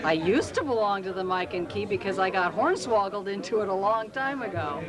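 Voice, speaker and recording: A woman's voice: medium pitch, expressive, fairly quick. A woman giving a wry, offhand introduction of herself at a club banquet. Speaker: amateur radio operator. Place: crowded banquet hall. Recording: banquet crowd chatter behind.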